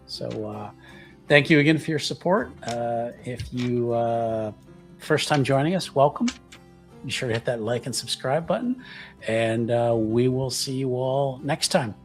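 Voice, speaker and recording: Man, game stream host, casual, hesitant stop-and-start phrasing, medium pitch, faint music behind. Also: unhurried. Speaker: player and host of a roleplaying stream. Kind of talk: casual livestream game chat.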